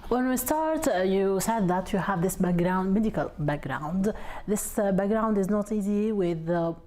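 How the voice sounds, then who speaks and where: A woman hosting an interview program, asking her guest questions in halting, simple phrasing. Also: medium pitch, animated, warm tone. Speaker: program host.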